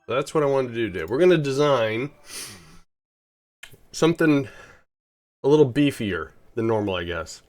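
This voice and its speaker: A man's voice: mid-range voice, expressive, normal speed, pauses often, darkish tone, faint music behind. A man with a head cold giving rambling, casual commentary while live-streaming a space game, often correcting himself.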